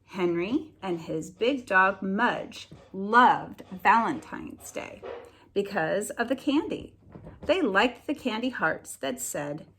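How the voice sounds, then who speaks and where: A woman teacher reading a children's story aloud as a lesson, slightly husky, enunciating deliberately with expressive storybook emphasis. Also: medium pitch, slight background noise.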